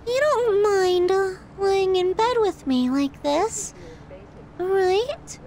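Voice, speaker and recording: A woman's voice: extremely high pitch, lively intonation, drawn-out words, a little mellow, heavily acted. A woman voicing an obsessive, doting young yandere girlfriend in an ASMR roleplay, with a cutesy, giggly manner and an undertone of menace. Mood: playful, clingy.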